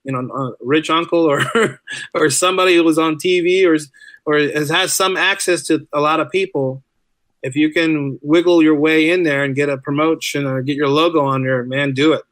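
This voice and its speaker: A man's voice: on the high side, fairly even intonation, moderate pace, a bit bright, no room sound. A middle-aged man telling stories casually as a podcast guest, chatty and informal, repeating phrases for emphasis. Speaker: podcast guest, teacher and writer. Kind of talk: casual conversational storytelling.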